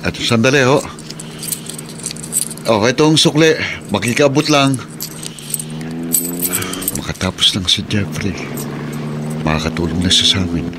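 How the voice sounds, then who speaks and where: A man's voice: on the low side, animated, measured pace, pauses often. An actor playing a weary, hard-up Filipino jeepney driver and father in a radio drama. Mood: businesslike. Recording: vehicle noise behind.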